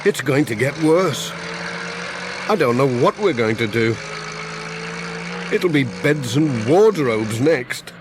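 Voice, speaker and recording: A man's voice: mid-range voice, lively intonation, takes it slowly, pauses often, background noise. A man voicing a friendly, overworked village postman in a children's audiobook, with a Northern English accent and a folksy, grumbling manner. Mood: exasperated.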